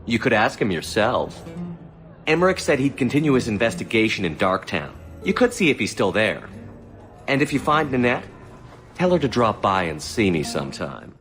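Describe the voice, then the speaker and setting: Cocky, lively young elf courtesan. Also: male voice, lowish voice, moderate pace, faint music behind. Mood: casual, teasing. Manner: sly, teasing, sardonic. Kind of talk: dramatic video game dialogue.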